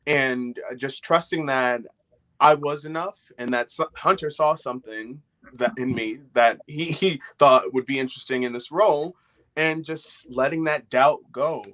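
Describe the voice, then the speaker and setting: A man in his twenties giving a candid interview answer over a video call, reflective and pausing mid-thought. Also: mid-range voice, fairly bright tone, rough recording. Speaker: actor. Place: small indoor room at home.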